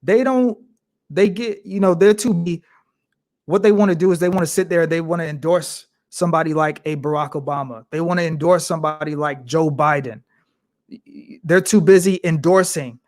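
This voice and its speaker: Emphatic young man, slightly high voice. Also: no room sound, studio quality. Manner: emphatic, repetitive, building rhetoric. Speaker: podcast host. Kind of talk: passionate commentary.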